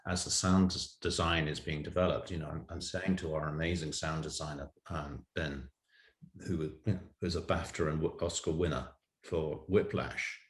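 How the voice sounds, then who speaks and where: A man's voice: very deep voice, steady tone, moderate pace. A man explaining his production work as a co-director in an interview, precise and detailed. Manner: detailed, precise, lists examples. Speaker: audio drama writer and co-director. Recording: roomy echo.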